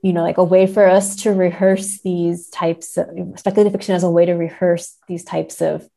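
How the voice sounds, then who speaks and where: A woman with a low, mellow voice and noticeable vocal fry talks through literature as a podcast guest. Her delivery is conversational and thoughtful, often hedged and punctuated with tag questions. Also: little pitch movement, moderate pace.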